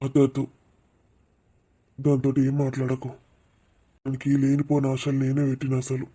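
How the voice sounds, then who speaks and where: A man's voice: medium pitch, monotone, normal speed, dark tone, slightly rough, natural voice. A man playing an exasperated middle-aged father in a cartoon. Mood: exasperated, scolding. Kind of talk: animated cartoon dialogue.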